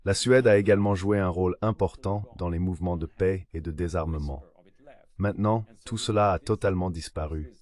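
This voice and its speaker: A man's synthetic voice reading translated political discussion as a measured voice-over. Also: sits low, darkish tone. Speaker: voice-over translator of interview guests. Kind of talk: dubbed political discussion, measured read delivery.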